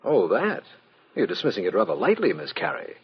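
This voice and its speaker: On a dull old radio recording, a stern investigator in a classic mystery drama. The voice is husky and official, putting each point formally and pointedly. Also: male voice, medium pitch, wildly swooping pitch, brisk, exaggerated voice. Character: stern, formal male city official questioning suspects.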